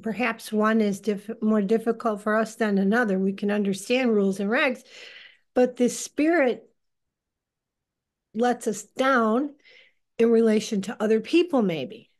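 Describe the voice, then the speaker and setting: A woman in her sixties giving informal religious teaching on a group call, speaking in an earnest, deliberate, halting way. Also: a little high. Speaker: leader of a lay religious group. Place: living room, indoors. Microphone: computer on a video call.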